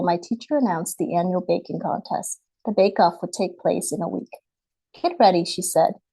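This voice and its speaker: A woman author speaks in a slightly low voice, close and dry with very little room sound. She is presenting and reading her picture book on a video call, with a polite manner. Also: dark tone, slightly rough, dry recording, low-quality recording. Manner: polite, apologetic about screen sharing. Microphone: computer mic on a video call.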